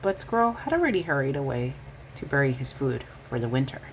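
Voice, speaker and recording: A woman reads a storybook for children. Her voice is unusually low for a woman and moves in animated swoops as she narrates. Faint noise can be heard behind her. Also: fairly quick, rough recording. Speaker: bedtime story reader. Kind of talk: expressive children's storybook reading.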